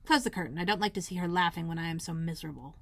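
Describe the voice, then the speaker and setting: A woman's voice: fairly low pitch, very fast, a bit bright, no echo. A woman voicing an imperious, self-pitying sickly young boy in a children's storybook, with a demanding, plaintive manner. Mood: bitter.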